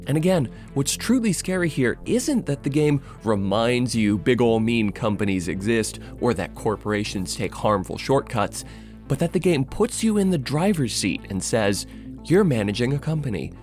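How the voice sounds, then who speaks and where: A man's voice: mid-range voice, a little mellow. A man narrating a scripted video essay in an emphatic, dramatic way. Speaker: video essayist and channel host. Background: music.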